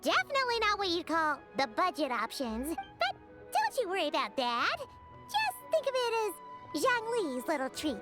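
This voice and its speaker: A woman's voice: extremely high pitch, wildly swooping pitch, normal speed, over loud music, exaggerated voice. A woman voicing a tiny, bubbly, childlike female companion and guide in a video game, exclaiming things eagerly. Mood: cajoling. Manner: bubbly, exclamatory, refers to self in third person. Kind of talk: animated video game voice acting.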